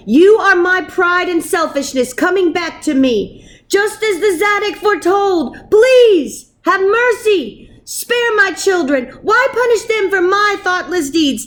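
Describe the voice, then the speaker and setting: A woman's voice: very high voice, expressive, slow pace, a bit bright, pitched above the performer's own voice, exaggerated voice. A woman reading a storybook and voicing a reckless, dramatic father who grumbles and pleads emphatically. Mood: desperate pleading.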